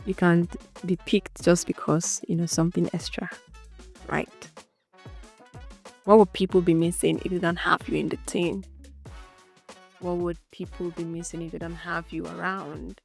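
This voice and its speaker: A young Nigerian woman with an Igbo accent talks through her thoughts on her own podcast, loose and conversational, breaking into laughs along the way. Her voice sits a little on the low side, and faint music runs under her. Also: little pitch movement, moderate pace, gravelly, long pauses, darkish tone. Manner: chatty, thinking aloud, laughs often. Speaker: podcast host. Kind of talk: unscripted solo reflection.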